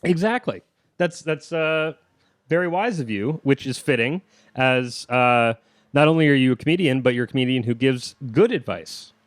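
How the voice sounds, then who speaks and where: Male podcast host, mid-pitched, rambling and self-deprecating, casual interview chat, dry close-up recording. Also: normal speed, no echo.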